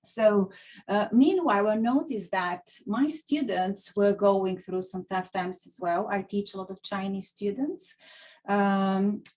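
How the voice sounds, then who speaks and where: A woman's voice: mid-range voice, a little slow, a little mellow. Bulgarian-accented woman, middle-aged, chatty guest speaker on a video call, full of fillers. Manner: chatty, with frequent fillers and restarts. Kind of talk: online talk. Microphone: computer mic on a video call.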